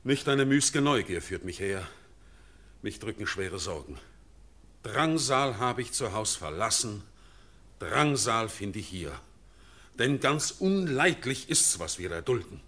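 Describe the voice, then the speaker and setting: A grave nobleman recounting dark news in an old radio play, his bright, forceful voice rising and falling expressively. He speaks in a solemn, measured way. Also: medium pitch. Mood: troubled. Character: grave middle-aged Swiss nobleman, sorrowful messenger. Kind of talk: classic German radio play acting.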